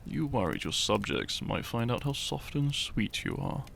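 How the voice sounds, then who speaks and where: A man playing a shy young farmer turned hero in an intimate romantic audio roleplay, tender and teasing, speaking softly and haltingly. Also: medium pitch, expressive, gravelly, slight background noise. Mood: playful, defensive. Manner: soft, hesitant, halting, sighing and chuckling.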